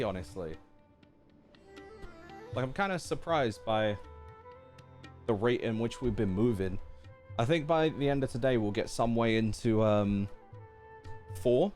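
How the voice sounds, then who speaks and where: A man's voice: medium pitch, a little mellow. A man giving casual, slangy live stream reactions to a video game and chatting to viewers. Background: game music.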